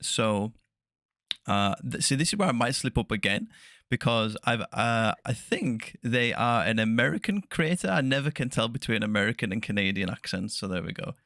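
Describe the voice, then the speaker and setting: A man hosting a soft-spoken interview podcast, chatty and laughing often, correcting himself as he goes. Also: mid-range voice, lively intonation, normal speed, vocal fry, dry recording, clean studio sound. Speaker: podcast host.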